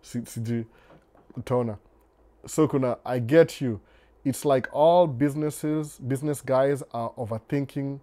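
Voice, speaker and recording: A man hosting a casual live stream. He answers viewer questions in a relaxed, unhurried way and moves freely between Swahili and English. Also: mid-range voice. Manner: reads viewer comments aloud and responds to them.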